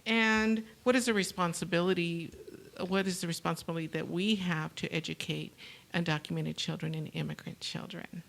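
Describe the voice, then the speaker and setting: A woman from New Mexico hosting a panel talk show, speaking in a halting way with many fillers and restarts. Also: on the low side, fairly even intonation, gravelly, a bit bright. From New Mexico, USA. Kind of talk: panel discussion.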